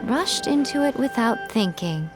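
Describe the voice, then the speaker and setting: A sly, arch shrine spirit. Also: female voice, fairly high pitch, lively intonation, unhurried, music in the background. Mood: wry, sardonic. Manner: arch, haughty, drawling, playful. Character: sly, teasing, elegant female shrine guardian spirit. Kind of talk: video game voice acting.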